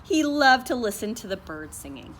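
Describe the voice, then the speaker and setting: A woman's voice: on the high side, sing-song, normal speed, fairly bright tone, a little hiss or hum. A woman teacher leading a morning meeting for young children, singing the days and reading a story aloud in a sing-song, patient way.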